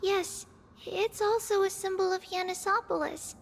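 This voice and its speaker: A woman voicing a timid, dazed little girl in a video game, with childlike, halting phrasing. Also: extremely high pitch, steady tone, a little hiss or hum. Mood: explaining. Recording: music behind the voice.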